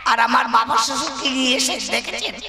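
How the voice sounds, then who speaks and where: A man voicing a loud, mocking son-in-law in a comic folk puppet show, exclaiming and repeating himself to the audience. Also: very high voice, fairly even intonation, fast talker. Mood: mocking, exasperated. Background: loud music.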